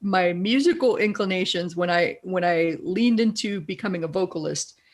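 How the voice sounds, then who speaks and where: A middle-aged woman with a deeper, raspy voice, a guest in a casual interview who tells animated stories and laughs often. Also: moderate pace. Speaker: guest, copywriter.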